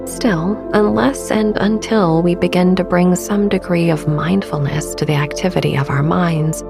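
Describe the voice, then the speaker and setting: A woman in her thirties gently narrating a sleep meditation as podcast host, with a soft, breathy, soothing and unhurried delivery. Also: fairly low pitch, steady tone, music in the background.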